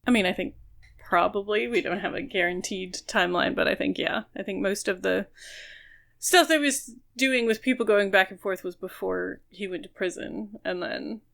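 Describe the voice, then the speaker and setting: A woman's voice: mid-range voice, moderate pace, gravelly, a bit bright. A woman co-hosting a podcast, casually talking through a book and carefully qualifying her opinions. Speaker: podcast co-host.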